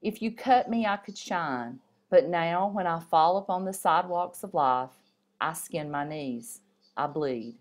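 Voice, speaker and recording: A woman reading stories and poems aloud in an expressive storybook manner, with an occasional stumble. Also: fairly low pitch, a little slow.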